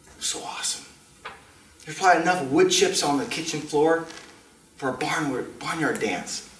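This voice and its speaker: A man telling a tall tale on stage in a theatrical way, with dramatic, deliberate pauses. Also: a little high, fairly bright tone. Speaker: contestant in a speech club storytelling contest. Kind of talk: theatrical tall-tale storytelling to a live audience. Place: indoor community meeting room. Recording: distant mic, roomy echo, faint noise.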